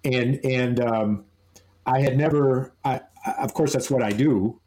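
Man, podcast guest, mellow, warm tone, rambling playful storyteller. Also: medium pitch, measured pace. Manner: playful, slips into silly put-on voices. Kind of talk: casual chat.

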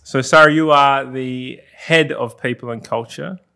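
A man hosting a podcast, reading an upbeat intro and then asking relaxed interview questions. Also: mid-range voice, a little slow, vocal fry, smooth, no echo. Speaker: podcast host.

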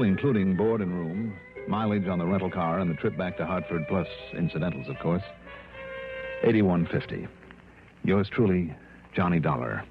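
Self-assured american investigator in old radio drama, lowish voice, dull sound w music. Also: male voice. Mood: matter-of-fact narrating. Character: shrewd, self-assured male insurance investigator, radio detective. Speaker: lead actor and narrator. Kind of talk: 1960s radio drama acting. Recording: muffled vintage radio recording with music.

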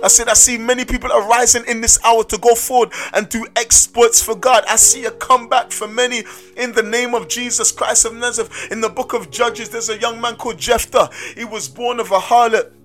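A man's voice: very high-pitched, little pitch movement, brisk, a bit bright, soft background music. A man preaching a sermon in a sing-song cadence, often urging "listen to me". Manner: repeats "listen to me".

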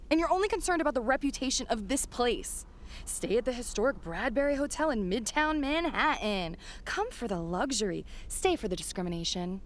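A woman playing an outspoken, sarcastic reporter in an audio drama, talking in a blunt, informal way. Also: fairly high pitch. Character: outspoken, sarcastic young female reporter with a conscience. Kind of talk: dramatic audio fiction acting.

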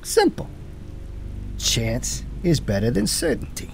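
A cocky, streetwise cop acted by a man delivers sardonic noir audio drama lines. His mid-pitched voice leaps up and down dramatically, exasperated and punchy, with faint music underneath. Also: huge pitch swings, takes it slowly, creaky, full character voice. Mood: cool, threatening. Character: wisecracking, hard-boiled male cop, cocky and exasperated.

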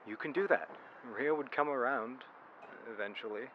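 A man's voice: mid-range voice, moderate pace, muffled recording, slight background noise, natural voice. An actor playing a weary young man in a supernatural mystery audio drama, caught up in a search for a missing girl. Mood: casual, tender. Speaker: narrator and character in supernatural mystery podcast. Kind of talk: audio drama acting with reflective narration.

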